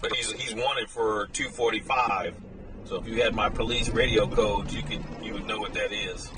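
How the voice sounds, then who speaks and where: A man's voice: fairly low pitch, fairly even intonation, moderate pace, loud music behind the voice. A man giving casual, matter-of-fact live commentary as a streamer, explaining things as he goes from a car out on the streets. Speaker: live stream host monitoring police scanner. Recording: noisy car and street, distant mic.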